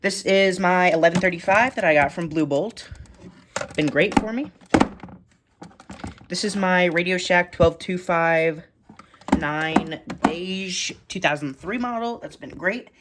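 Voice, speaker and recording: Low-voiced woman giving a casual show-and-tell. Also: measured pace, very noisy. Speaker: vlogger showing a radio collection.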